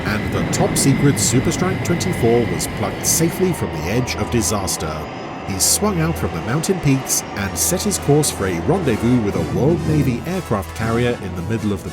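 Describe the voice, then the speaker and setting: Lively male adventure narrator over music. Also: mid-range voice. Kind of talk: dramatic scripted adventure narration. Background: music and vehicle sound effects.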